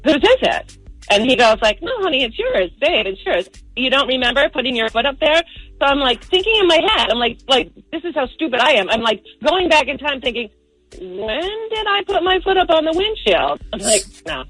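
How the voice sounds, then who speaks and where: A woman's voice: high-pitched, very animated, brisk, a bit bright, dry recording, low-quality recording. A woman calling in to a radio show, telling a personal story in a chatty, informal way full of filler "like". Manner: informal, peppers talk with "like".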